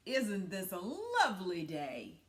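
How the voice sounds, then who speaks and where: A woman voicing a charming, falsely polite male wolf in a storybook, flattering and drawing out the words. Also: mid-range voice, heavily acted. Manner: smooth-talking, drawn-out, flattering. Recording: roomy, echoey sound.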